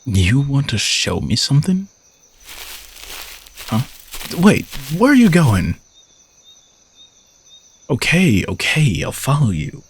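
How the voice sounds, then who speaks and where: A man playing an arrogant, teasing young knight bodyguard who is gruffly dutiful, in an audio roleplay spoken to the listener. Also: mid-range voice, sing-song, slow pace, long pauses, heavily acted. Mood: puzzled, impatient.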